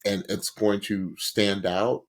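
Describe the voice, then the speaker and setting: A man's voice: on the low side, fairly even intonation, takes it slowly. A man co-hosting a podcast, giving casual reaction commentary in a gushing way and repeating words for emphasis. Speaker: podcast co-host.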